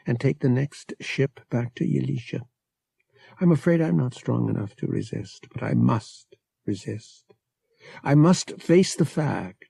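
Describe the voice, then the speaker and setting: A man's voice: medium pitch, vocal fry, dark tone, breathy edge, no room sound, exaggerated voice. A man voicing an anguished but resolute young woman in an audiobook, earnest and pleading as in a farewell letter.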